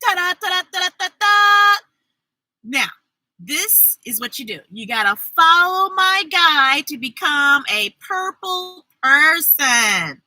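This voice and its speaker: Very animated high, bright woman reading to kids. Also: no room sound. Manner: exuberant, playful, sound effects and drawn-out words. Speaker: children's storybook reader and host. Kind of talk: animated read-aloud for children with chatty asides.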